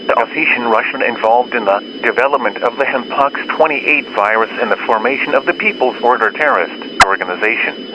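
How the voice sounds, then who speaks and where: Male alert announcer over a clearly noisy broadcast. Formal, official scripted read at an average pitch. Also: dull audio. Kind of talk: scripted law enforcement warning narration.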